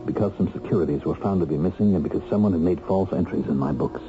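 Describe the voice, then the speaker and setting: A man's voice: low-pitched, fast talker, a little hiss or hum, lightly acted. A man acting a radio drama role: a bitter, determined bookkeeper freed from prison, earnest and methodical as he talks. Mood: earnest explaining. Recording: muffled old radio recording with music.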